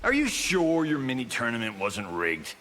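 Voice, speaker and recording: An actor playing a cocky, vain Hollywood action star, brash and flippant, in scripted fighting game banter. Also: male voice, mid-range voice, huge pitch swings, moderate pace, fairly bright tone, faint music behind. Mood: smug.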